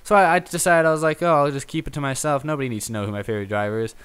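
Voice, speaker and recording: A man's voice: a little high, very fast, fairly bright tone, clear, resonant tone. A young man hosting a casual solo talk show, chatting off the cuff in a rambling, self-deprecating way. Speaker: host of a YouTube racing talk show. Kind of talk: casual unscripted solo chat.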